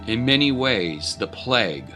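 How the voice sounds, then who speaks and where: A man's voice: mid-range voice, expressive, a little slow, pushed and bright, soft background music. A man narrating a scripted dramatic story as a voice-over.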